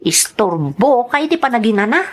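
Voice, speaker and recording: Woman as haughty young village woman, radio drama, Ilocano accent, high lively pitch, curt, scolding. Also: moderate pace, slight background noise. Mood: curt, annoyed. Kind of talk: amateur radio-style drama.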